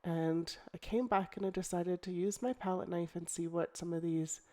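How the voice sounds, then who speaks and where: A middle-aged woman with a croaky voice from a cold, chatting casually as she explains a scrapbooking project, with ums and restarts. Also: fairly low pitch, moderate pace. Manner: relaxed, chatty, thinking aloud with ums and restarts. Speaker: scrapbooking crafter. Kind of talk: casual crafting tutorial voice-over.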